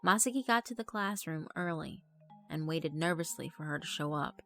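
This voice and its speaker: A woman narrating a horror visual novel in a measured, dramatic storytelling manner. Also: deep voice, expressive, fairly bright tone, soft background music.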